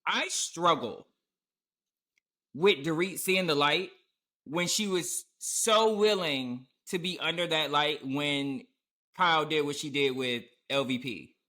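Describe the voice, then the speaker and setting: A man with a fairly high, bright voice hosts a laid-back podcast conversation. He speaks with animated energy and jumps into raised, exaggerated tones whenever he quotes someone else. His remote setup gives a direct, close sound. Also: slow. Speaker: podcast host. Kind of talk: casual chat. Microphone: remote recording setup.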